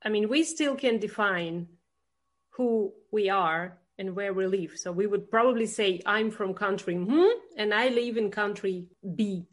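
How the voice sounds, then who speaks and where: A woman's voice: mid-range voice, unhurried. Woman interviewer. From Russia. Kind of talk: casual conversational interview.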